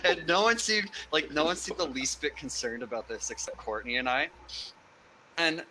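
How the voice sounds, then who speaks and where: A young man, a guest on a podcast, telling a story in a casual, animated way. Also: on the high side, moderate pace, noisy background. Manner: animated storyteller, acts out quoted people.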